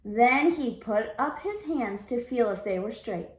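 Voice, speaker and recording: A woman teacher reading a storybook aloud to young children in an expressive, deliberate way. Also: a little high, reverberant, poor audio. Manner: deliberate, expressive, prompting listeners with questions.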